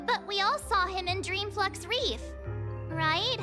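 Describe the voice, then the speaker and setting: A woman's voice: very high voice, slow pace, over music. A woman voicing a perky, bewildered teenage girl in anime-style video game dialogue, bubbly and questioning. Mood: puzzled, eager.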